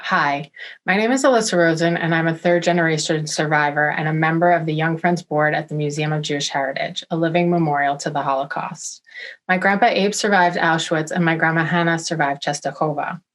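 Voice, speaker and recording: A woman's voice: low-pitched, brisk. A young woman presenting a scripted introduction to a ceremony in a polite, composed way.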